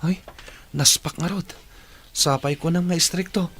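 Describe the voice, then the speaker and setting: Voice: mid-range voice, fairly even intonation, unhurried, a bit bright. Young adult student, Ilocano accent, earnest and worried, mid-range voice, radio play dialogue. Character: young working student, dutiful, worried son. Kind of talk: radio drama acting.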